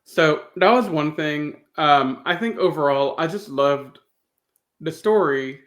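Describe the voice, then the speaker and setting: A man's voice: on the high side, slow, fairly bright tone. A man hosting a podcast, chatting casually through a review in a thoughtful, appreciative way and rephrasing as he goes. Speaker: podcast host.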